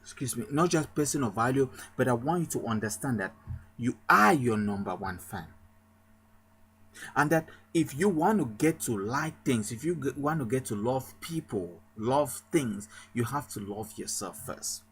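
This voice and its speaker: A man's voice: medium pitch, animated, normal speed, a bit bright, slightly rough. A man from Cameroon, a pastor, giving an emphatic motivational sermon with a repetitive rallying cadence.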